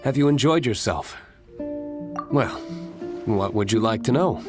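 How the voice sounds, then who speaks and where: A composed, reflective gentleman from a video game. His voice is fairly low and very unhurried, measured and philosophical, with clear music behind it. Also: lively intonation. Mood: calm, thoughtful. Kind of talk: video game character dialogue.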